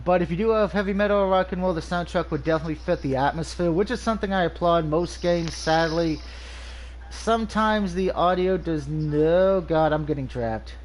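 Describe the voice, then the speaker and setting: A man's voice: on the high side, normal speed, slight background noise. A man with a nasal, stuffy voice from allergies, casually reviewing a video game over gameplay, rambling with frequent ums and restarts.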